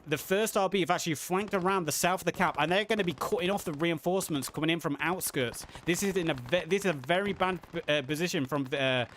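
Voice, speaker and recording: A man casting a live game match, play-by-play with fillers, a little hoarse as he is losing his voice. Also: on the high side, quick pace, hardly any pauses, a little hiss or hum. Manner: excited play-by-play with frequent uh fillers. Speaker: esports caster. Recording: audible game gunfire and artillery behind. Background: game gunfire and artillery sounds.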